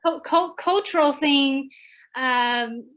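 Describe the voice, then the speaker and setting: A woman from China in her thirties talks in English, her second language, as an online panel guest. Her voice sits high, and she tells her stories with animation. She often stumbles and restarts mid-sentence. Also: slow pace. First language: Chinese. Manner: animated storytelling, many repeated starts. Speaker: alumni guest speaker. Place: home, over a video call.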